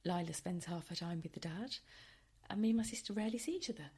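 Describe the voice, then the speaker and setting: A woman hosting a casual solo podcast, chatty and wry, with a tired, croaky voice, recording at home. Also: on the low side, quick pace, darkish tone. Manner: chatty, wry and self-deprecating. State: hoarse, tired. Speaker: podcast host.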